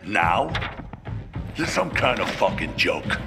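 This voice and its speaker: A man's voice: low-pitched, sing-song, slow pace, gravelly, exaggerated voice. A man voicing an army lieutenant who is angry, sarcastic and curses a lot. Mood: furious. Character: bitter male lieutenant, furious, grieving. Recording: reverberant cutscene with music.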